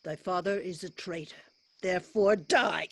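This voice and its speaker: A woman's voice: on the low side, normal speed. A woman playing a ruthless, vengeful male warrior lord in a staged reading of Shakespearean verse, menacing and declamatory. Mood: wrathful. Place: online video call.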